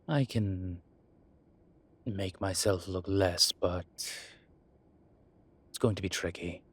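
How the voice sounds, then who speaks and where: A man playing a proud, sardonic incubus demon professor in a roleplay audio drama, with a halting, deliberate delivery. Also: heavily acted. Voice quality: low, husky voice. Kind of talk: roleplay audio drama, speaking to an unseen listener.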